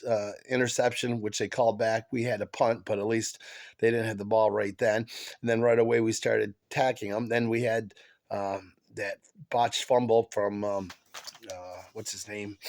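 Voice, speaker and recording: A man with a head cold hosts a casual sports chat, his voice stuffy and nasal. He talks in a gravelly, creaky tone, stopping and restarting often. His delivery is halting and full of fillers. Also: mid-range voice. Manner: halting, many fillers and restarts, excited fan. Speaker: podcast host.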